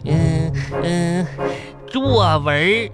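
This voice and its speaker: Zhuangzhuang, a slow, boastful little schoolchild. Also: female voice, lowish voice, animated. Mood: earnest reciting. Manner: childish, halting, hesitant recitation. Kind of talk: broadcast radio comedy acting. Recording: music behind the voice.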